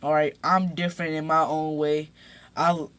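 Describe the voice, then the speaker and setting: A teenage boy talking casually to his viewers, halting and repeating words, then growing emphatic as he makes his point. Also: a little high, little pitch movement, sharp tone. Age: teens. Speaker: YouTuber. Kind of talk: casual talk to camera. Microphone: phone.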